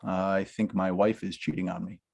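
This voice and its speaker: A man's voice: sits low, little pitch movement, moderate pace, gravelly, darkish tone. A man reads a script at a table read. His delivery is eager and teasing, and he trips over his words as he pushes ahead. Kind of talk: script table read, playing a nosy friend.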